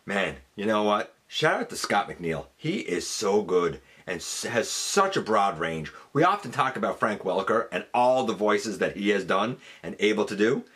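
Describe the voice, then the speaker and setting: Animated man hosting a fan countdown. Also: lowish voice, normal speed, hardly any pauses, breathy edge. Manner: enthusiastic, emphatic fan talk. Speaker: host of a fan countdown show. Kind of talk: casual countdown commentary.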